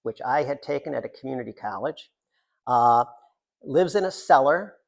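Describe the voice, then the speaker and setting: An older American man, a poet introducing his work at a reading in an informal, halting, digressive way with wry, self-deprecating humour. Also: mid-range voice, animated, gravelly. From Central New York, United States. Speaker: poet reading from own book. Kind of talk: informal author talk at a poetry reading.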